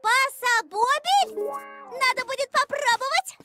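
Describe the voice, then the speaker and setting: A small, kind cartoon animal child with an extremely high voice. The character talks slowly and in a friendly, upbeat way, with music behind. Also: female voice. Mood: eager. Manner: friendly, conciliatory. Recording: music under the voice.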